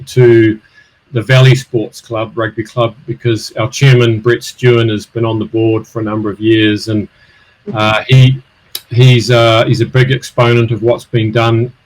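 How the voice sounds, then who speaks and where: A man's voice: mid-range voice, a little mellow, poor audio. A middle-aged man hosting an interview podcast, often hesitating and repeating words as he asks questions. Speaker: podcast host.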